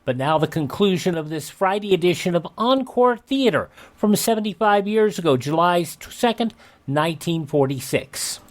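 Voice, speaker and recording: A woman's voice: on the low side, lively intonation, normal speed. A woman announcer giving a scripted radio programme introduction.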